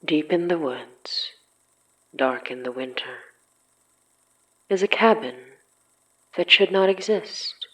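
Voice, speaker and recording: Very slow, ominous horror narrator. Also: medium pitch, lively intonation, a bit bright, rough recording. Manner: ominous, deliberate, pausing between short phrases.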